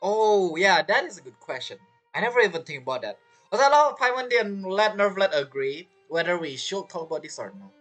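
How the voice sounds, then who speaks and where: A man giving casual, amused commentary as he plays through a story game, with halting phrasing. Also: sits high, very animated, a bit bright. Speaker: gaming content creator playing through a story quest. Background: game music.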